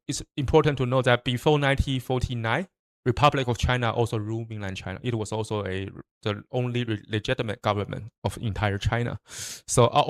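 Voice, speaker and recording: A man's voice: medium pitch, no echo, clean studio sound. Man giving a lecture. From Taiwan. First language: Mandarin. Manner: frequent restarts and fillers, explains step by step. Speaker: presenter, high school teacher. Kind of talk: lecture presentation.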